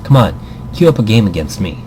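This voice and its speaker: A man voicing a sharp-tongued female pro gamer mentor in a visual novel, blunt, sarcastic and critical, with music behind. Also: on the low side, very animated, a little fast, a little hiss or hum, rough recording. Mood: exasperated, critical.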